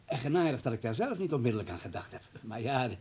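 Flustered deferential hospital boss in a radio play, wordy, husky, pitch all over. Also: male voice, big pitch swings, fast, darkish tone. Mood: placating. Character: fussy, deferential male hospital administrator, eager to justify himself.

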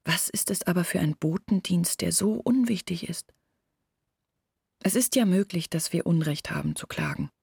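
An earnest village woman in a radio play, baring family secrets. Her voice is on the low side and husky, very close and dry. She speaks deliberately, sometimes slipping almost into a whisper. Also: a little mellow, no echo, exaggerated voice. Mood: earnest, confiding.

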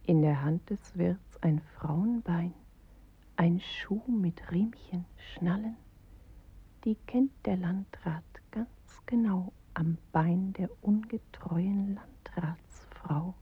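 A woman narrating a dark, grim tale in a radio play, with measured, terse phrasing and a husky, breathy voice. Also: on the low side, full character voice. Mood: hushed, ominous. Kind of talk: literary radio play narration, slow and deliberate. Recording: dry, slightly dull studio radio recording.

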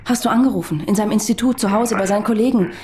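An actress playing a brisk, practical woman journalist in a radio drama, with direct, probing, clipped phrases. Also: medium pitch, heavy background noise, lightly acted. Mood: insistent. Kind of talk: radio drama dialogue and thoughts.

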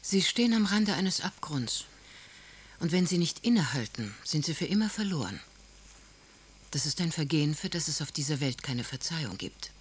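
An actress playing a worldly, stern older aristocratic lady in a radio drama, giving grave, admonishing counsel in a husky voice. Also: deep voice, big pitch swings, moderate pace, exaggerated voice. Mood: grave warning.

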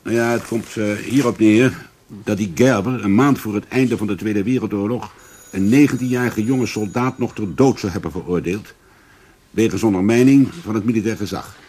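A man acting a preoccupied, earnest investigator in a radio drama, husky and conversational. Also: fairly low pitch, normal speed, darkish tone. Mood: earnest, insistent.

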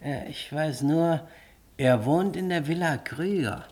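A man's voice: fairly high pitch, takes it slowly, darkish tone. A man playing a dutiful, subservient office clerk in a German radio play, cautious yet gossipy and eager to please.

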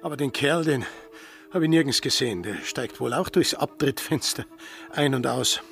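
An actor playing an earthy, wry, grumbling sailor in a radio drama. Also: male voice, medium pitch, normal speed, gravelly, a bit bright, faint music behind.

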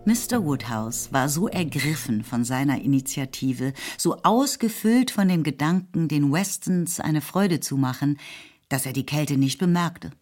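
Low-voiced woman narrating literary drama. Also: expressive, a little slow, darkish tone, faint music behind. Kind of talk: scripted literary narration in a German radio play.

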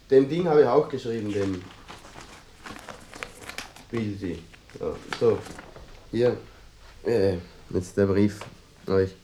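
An actor playing an earnest, obsessive amateur clarinetist in a mock-documentary radio play, rambling and interrupting himself in a Vorarlberg Austrian accent. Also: male voice, lowish voice, dark tone, a little hiss or hum, natural voice. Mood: flustered, searching. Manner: rambling, halting, self-interrupting, fussy. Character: earnest, obsessive amateur clarinetist hunting a vanished brass band.

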